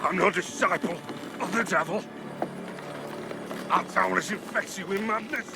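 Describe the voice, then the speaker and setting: A man's voice: high voice, unhurried, gravelly, loud music behind the voice. An actor playing a defiant condemned Puritan man in a period video game drama, his speech strained, anguished and drawn out.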